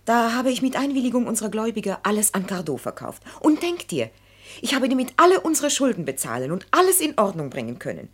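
A young woman devoted to her lover in a German radio drama. Her voice is husky and very animated. She coaxes and soothes with cheerful tenderness. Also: on the high side, fast. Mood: bright, coaxing. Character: loving young actress mistress, cheerful and coaxing. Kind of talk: German radio play acting.

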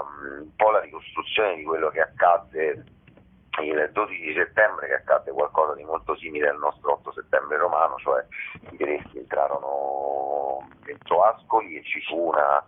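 A man with a very deep, rough-edged voice. He explains things informally in an interview, feeling his way with pauses. The sound is thin and boxed-in, as on a phone call. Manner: halting, explanatory, often pausing mid-sentence. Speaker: theatre author and actor, interview guest. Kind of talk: informal radio interview answers. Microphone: telephone. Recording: tinny, narrow phone line.

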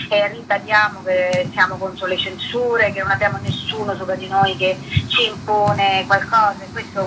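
A woman being interviewed on radio as a comedy guest, in a mid-pitched voice. She talks informally and hesitantly, feeling for the right words, with clear background noise on the recording. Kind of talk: spontaneous conversational radio interview.

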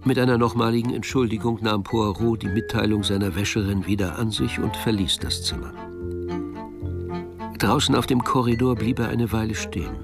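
A man narrating a German radio crime drama in a measured, literary storytelling manner. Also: lowish voice, gravelly, music in the background.

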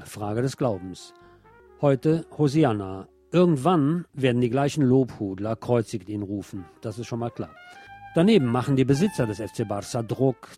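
Mellow-voiced man reading radio news. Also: medium pitch. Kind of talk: scripted radio news reading with wry commentary.

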